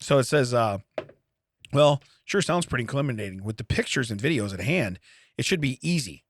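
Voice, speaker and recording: A man's voice: mid-range voice, expressive, fairly quick, slight background noise. A man co-hosting a comedy podcast, reading out a news story and riffing on it with jokey, wry asides in casual chat. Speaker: podcast co-host.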